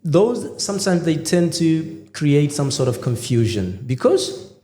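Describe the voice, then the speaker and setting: A man's voice: fairly high pitch, unhurried. Male podcast host, playful and chatty; roomy, reverberant sound. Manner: lively, playful, many fillers and restarts. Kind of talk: casual interview chat. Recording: echoey room with long reverb.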